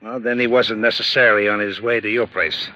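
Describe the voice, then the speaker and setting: An actor playing an easygoing, skeptical American police lieutenant in a 1950s radio drama, casually reasoning things through. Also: male voice, fairly low pitch, steady tone, fairly quick, sharp tone. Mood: placating skepticism. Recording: dull, lo-fi old radio recording.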